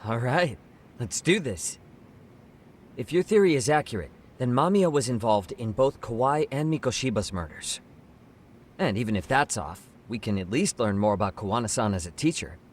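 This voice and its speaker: Lively, breezy male sidekick, played by an actor. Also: mid-range voice, normal speed, slight background noise, lightly acted. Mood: casual, ready. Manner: informal, breezy, inquisitive. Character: casual, cheeky male detective sidekick. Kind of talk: English-dubbed video game cutscene acting.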